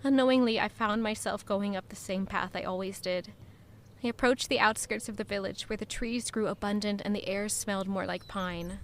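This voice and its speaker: A woman playing a quietly resolute young woman in an audio drama, narrating in a measured, reflective way. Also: mid-range voice, steady tone, slight background noise, lightly acted. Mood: brooding, wistful. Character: guilt-ridden, quietly resolute young woman returning to her hometown. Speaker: narrator and lead character. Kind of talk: first-person scripted audio drama narration.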